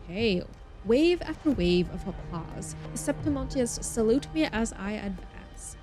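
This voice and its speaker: Expressive woman game streamer with music behind. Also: on the high side, unhurried. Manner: expressive, dramatic reading mixed with chatty asides. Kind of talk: live game stream, reading story text aloud with casual comments.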